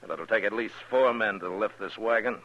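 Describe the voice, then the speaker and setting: Deep, gritty frontier marshal. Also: male voice, brisk. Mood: alert, measured. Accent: American. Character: calm, watchful frontier U.S. marshal. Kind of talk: 1950s radio western acting. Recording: dull vintage radio recording.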